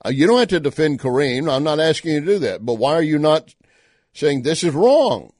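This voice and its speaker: A man's voice: fairly high pitch, big pitch swings, brisk, a little mellow, no echo, clean studio sound. A Texan man hosting an opinion talk show, commenting indignantly with emphatic questions. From Texas.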